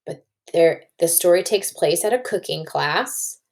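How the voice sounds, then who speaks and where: A woman's voice: lowish voice, lively intonation, measured pace, creaky. A woman teacher doing a children's read-aloud and story discussion, thinking aloud, recorded indoors at a computer.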